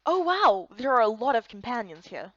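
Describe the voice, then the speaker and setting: A woman playing an earnest, chatty young heroine in a fantasy game, speaking in an expressive, exclaiming way. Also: fairly high pitch, moderate pace, dry recording, lo-fi sound, exaggerated voice. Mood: delighted.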